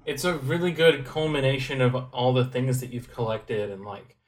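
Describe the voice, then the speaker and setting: A young man co-hosting a podcast, talking about a game in a relaxed, thoughtful way with wry humor. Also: mid-range voice, moderate pace. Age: young adult. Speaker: podcast co-host. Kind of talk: casual game discussion.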